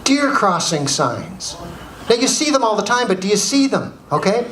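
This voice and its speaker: A middle-aged Canadian man giving a humorous motivational keynote as an animated storyteller, repeating phrases for emphasis. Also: high voice, moderate pace. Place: indoor speaking venue with audience. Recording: roomy live hall, faint background noise.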